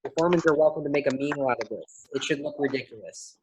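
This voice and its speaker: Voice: medium pitch, fast talker, background noise. A narrator reading out a play's stage directions as scripted narration.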